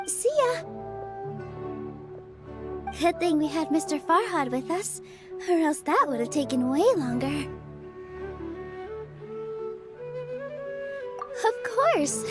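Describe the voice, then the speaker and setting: A woman voicing a sweet, polite young dancer in a video game, with a gentle, courteous manner. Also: extremely high pitch, sing-song, unhurried, pauses often, heavily acted. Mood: cheerful. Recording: loud music behind the voice. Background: music.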